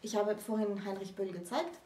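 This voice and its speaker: A woman in her thirties giving an unscripted literary explanation, deliberate and halting, with pauses mid-sentence. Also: medium pitch, mellow. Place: small book-lined room indoors. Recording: roomy, distant microphone.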